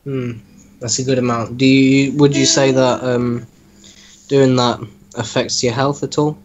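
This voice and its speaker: A man talking casually as an interviewer, with a deadpan, mock-serious teasing manner. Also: mid-range voice, measured pace, dull audio. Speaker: host interviewing a story's author. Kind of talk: casual joking chat with reading aloud.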